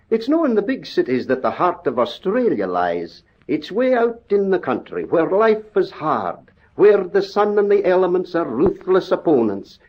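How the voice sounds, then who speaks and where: Old radio drama: kindly Scottish doctor, earnest, animated delivery, muffled vintage recording. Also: male voice, a little high, husky, heavily acted. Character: kindly, idealistic Scottish country doctor, gentle brother.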